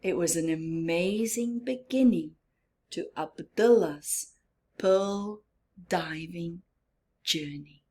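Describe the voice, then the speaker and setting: A woman reading a picture book aloud to young children, dramatic and expressive, with simple asides and questions to the listener. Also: lowish voice. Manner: slow, simple child-directed phrasing. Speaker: storyteller for children.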